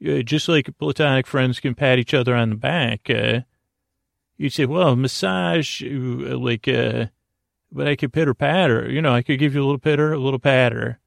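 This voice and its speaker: A man hosting a bedtime podcast in a creaky voice, with a halting, meandering monologue that repeats itself and drifts into whimsical asides. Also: medium pitch, no echo, studio quality. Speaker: podcast host.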